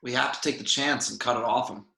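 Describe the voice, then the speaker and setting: A man's voice: medium pitch, steady tone, fast talker, vocal fry, pushed and bright. A man playing a commanding, impatient spaceship captain in an amateur sci-fi table read. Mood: frustrated, determined. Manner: urgent, commanding. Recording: echoey room.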